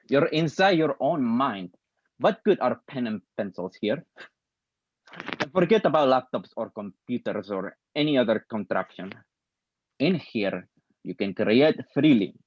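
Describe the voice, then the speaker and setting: An actor playing a stern, impatient old theater professor at a play table read, brusque and condescending. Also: male voice, medium pitch, animated, measured pace, no echo, muffled recording. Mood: lecturing.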